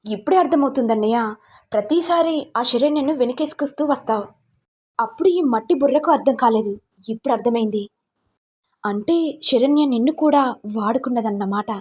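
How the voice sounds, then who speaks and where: A man's voice: very high-pitched, moderate pace, long pauses, a little mellow, slightly rough. A woman voicing an indignant, sarcastic young man accusing his older brother in a story.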